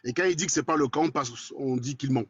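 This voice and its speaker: Fast-talking blunt Cameroonian man. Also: mid-range voice, husky, no room sound, muffled recording. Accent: Cameroonian French. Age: 40s. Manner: blunt, crude and combative, quick and talkative. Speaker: guest in a live debate. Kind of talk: casual live chat.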